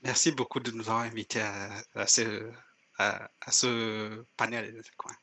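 Young guy on a headset video call, husky low voice, pretty flat. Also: unhurried. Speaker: guest entrepreneur. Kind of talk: casual interview answers. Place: indoor room, joining by video call.

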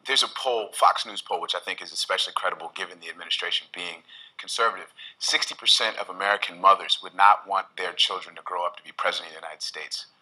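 A man in his thirties on a panel, talking quickly and emphatically through a lapel mic. His voice is very deep and bright. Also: breathy edge, poor audio. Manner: fast, emphatic, with restarts. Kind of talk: panel discussion. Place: lecture hall stage.

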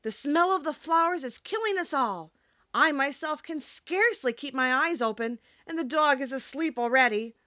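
A big, tender-hearted storybook lion read by a woman, earnest in manner. The delivery is melodic and animated. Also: high-pitched, moderate pace, dry recording, voice pushed up. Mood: worried.

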